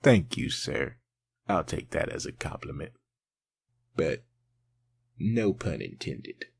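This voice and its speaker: A man voicing a meek, dryly polite police sidekick in a comic dub, deadpan and deferential, with a husky, creaky voice. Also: very deep voice, takes it slowly, full character voice.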